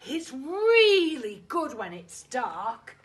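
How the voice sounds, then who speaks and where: A woman voicing an excitable young male tiger in a children's storybook, bold but jumpy, exclaiming dramatically. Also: high-pitched, extremely slow, voice pushed up, heavily acted. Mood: excited, eager.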